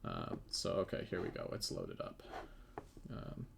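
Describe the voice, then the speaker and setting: A man's voice: extremely low pitch, big pitch swings, unhurried, vocal fry, noisy background. A man presenting his game development work in a casual live stream, hesitant and thinking aloud as he works. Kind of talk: casual live stream chat while demonstrating.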